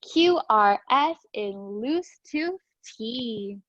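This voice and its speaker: A woman with a fairly high voice reads storytime books as a preschool teacher. She goes very slowly, with a sing-song, encouraging delivery. The sound is dull and lo-fi, close and dry. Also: lively intonation, fairly bright tone, no room sound. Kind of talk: animated read-aloud for young children.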